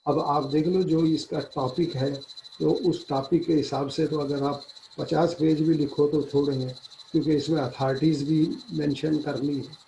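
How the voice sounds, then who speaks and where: A man's voice: on the high side, little pitch movement, normal speed, creaky, highs cut off. A man lecturing on law, explaining and repeating his phrases while mixing in English legal terms. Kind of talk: explanatory online law lecture with student questions. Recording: roomy echo, faint background noise.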